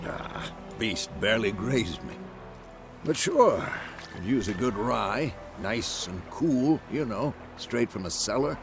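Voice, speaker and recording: A wry, gruff old monster hunter. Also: male voice, fairly low pitch, expressive, slow. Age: older. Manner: wry, offhand. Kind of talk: video game cutscene acting. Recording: game audio with music behind.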